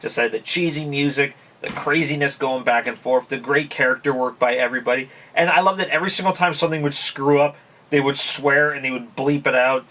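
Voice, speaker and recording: A chatty man from Canada talks through a casual review vlog, full of fillers and quick laughs. His voice sits in a middle register. He sounds a little far from the microphone. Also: mid-range voice, steady tone, sharp tone. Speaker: YouTube channel host. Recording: voice somewhat distant from mic.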